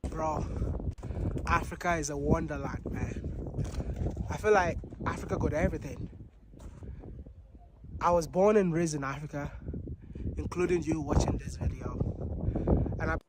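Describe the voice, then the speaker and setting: A man's voice: on the high side, a little slow, long pauses. A man speaks earnestly and from the heart in a somewhat raised voice. This is a played video clip with plain background noise around him. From Nigeria. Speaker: content creator. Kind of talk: sentimental video monologue.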